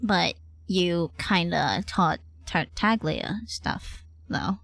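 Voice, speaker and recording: A woman's voice: on the low side, drawn-out words, creaky, fairly bright tone. A woman game streamer giving casual, chatty live commentary, playfully reacting aloud as she goes.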